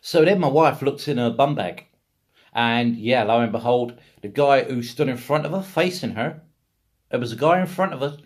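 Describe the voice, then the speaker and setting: A man's voice: mid-range voice, animated, moderate pace. A British man in middle age talks casually, like a video blogger recounting his own experiences. His delivery is rambling yet blunt and emphatic. He swears freely as he goes.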